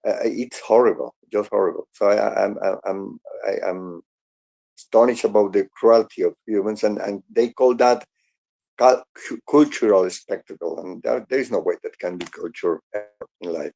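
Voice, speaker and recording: A man co-hosting an online audio chat who talks haltingly and repeats words. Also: fairly low pitch, creaky, warm tone, low-quality recording.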